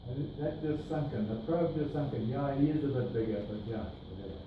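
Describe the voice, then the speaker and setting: A man's voice: medium pitch, brisk. South African man lecturing, echoey and far. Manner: explanatory, points at slides, rhetorical asides. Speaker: grazing workshop presenter. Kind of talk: slide talk to a workshop group. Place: meeting room with projector screen. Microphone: far camera, no mic. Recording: distant echoey room with noise.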